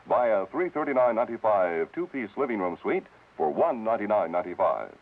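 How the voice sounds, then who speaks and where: American guy reading old radio store ad, really animated, tinny phone-like sound. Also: lowish voice. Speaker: commercial announcer. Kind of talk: 1950s radio advertising pitch. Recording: tinny, narrow-band old radio broadcast.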